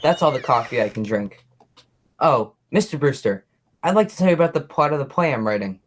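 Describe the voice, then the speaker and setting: A friendly, slightly dim beat cop in a radio play, acted by an amateur performer. His talk is folksy and colloquial, at a middling pitch. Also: animated, a little fast, lightly acted. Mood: eager, chatty. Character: friendly, dim policeman and would-be playwright. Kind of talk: radio play acting, high school theatre.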